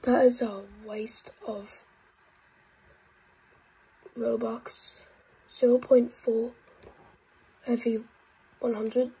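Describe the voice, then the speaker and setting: Congested woman YouTuber, very husky and mellow tone, excitable gameplay chatter. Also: fairly high pitch, very slow, pauses often, poor audio. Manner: excitable, with frequent whispered asides. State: congested, croaky voice. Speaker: gaming YouTuber. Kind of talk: casual gameplay commentary.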